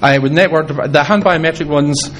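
A man with a Scottish accent giving a fast, halting technical talk to a hall audience, with many restarts and fillers. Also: fairly high pitch, slight background noise. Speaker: conference speaker.

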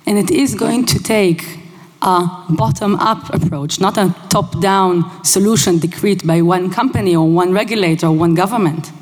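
A woman whose first language is Hebrew, giving a conference talk in a brisk, casual and emphatic way. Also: fairly low pitch. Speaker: conference speaker. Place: large conference hall. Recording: long hall reverb.

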